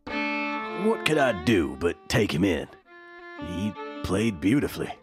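An actor playing a kindly, soulful recording studio owner reminiscing expressively in an audio drama documentary. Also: male voice, sits low, very animated, takes it slowly, music in the background, heavily acted. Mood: fond. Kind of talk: acted documentary testimony.

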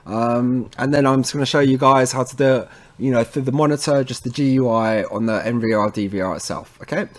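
A man presenting a technical tutorial in an informal way, talking viewers through each step and often checking in with a quick tag word. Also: mid-range voice. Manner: informal, addresses viewers as guys, frequent tag okay. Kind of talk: casual screen-recorded how-to walkthrough.

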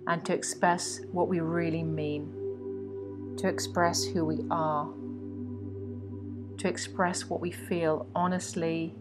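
Woman, meditation guide, slightly low pitch, soothing instructions, frequent pauses, music clearly behind the voice. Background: meditation music with singing bowls.

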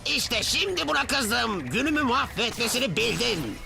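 An actor playing an angry, taunting alien hero with a gravelly voice in a cartoon dub. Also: male voice, very high voice, big pitch swings, normal speed, heavily acted. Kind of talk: animated series dub acting. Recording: music behind the voice.

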